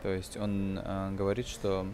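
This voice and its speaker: A man's voice: deep voice, completely flat delivery, a little slow, crowd noise. A man in his twenties giving an informal English lesson, explaining hesitantly phrase by phrase. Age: 20s. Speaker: English teacher. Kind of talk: informal film-based language lesson.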